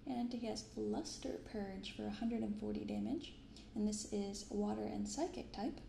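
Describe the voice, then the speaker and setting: Soft spoken girl in her 20s doing casual asmr unboxing, gentle n creaky, roomy sound. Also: medium pitch, normal speed. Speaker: host of a card-opening ASMR channel. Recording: roomy binaural recording with clear background noise.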